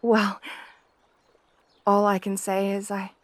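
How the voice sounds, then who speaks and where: Woman acting a shy, slow-spoken gentle princess. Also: medium pitch, monotone, no echo, natural voice. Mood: tender, hopeful. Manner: hesitant, stammering, deferential. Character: shy, gentle, devoted young princess bride. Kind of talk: wholesome romantic ASMR audio roleplay.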